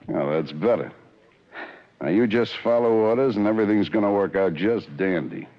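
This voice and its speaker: A man's voice: lowish voice, animated, moderate pace. An actor playing a cold, sneering hired killer in a 1940s radio drama, curt and menacing with slangy tough-guy talk. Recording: dull lo-fi old radio broadcast.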